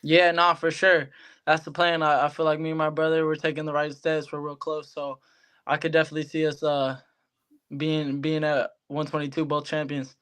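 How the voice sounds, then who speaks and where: A man's voice: on the high side, flat delivery, moderate pace, a bit bright, smooth. A young American man giving casual interview answers as a guest athlete, in colloquial, laid-back phrasing. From Hawaii, United States. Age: young adult. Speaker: professional boxer, guest.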